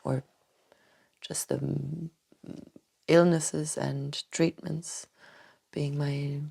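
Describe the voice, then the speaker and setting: A woman doctor from Tanzania answering interview questions thoughtfully, with many hesitant restarts and soft trailing phrases. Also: deep voice, flat delivery, very slow, creaky, slightly rough. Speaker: doctor and acupuncturist, podcast guest. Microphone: podcast microphone.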